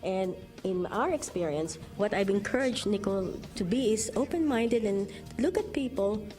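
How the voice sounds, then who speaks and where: Gentle, advising woman parent. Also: medium pitch, lively intonation, normal speed, faint music behind. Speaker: parent guest. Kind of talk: interview answer.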